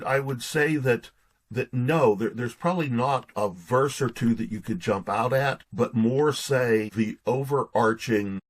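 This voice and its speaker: A man speaking as a guest author in an interview, conversational, often restarting and repeating his words as he goes. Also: mid-range voice.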